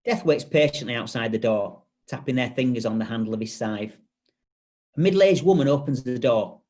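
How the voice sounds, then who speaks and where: A man's voice: mid-range voice, moderate pace, darkish tone. A man reading stage directions as scripted narration.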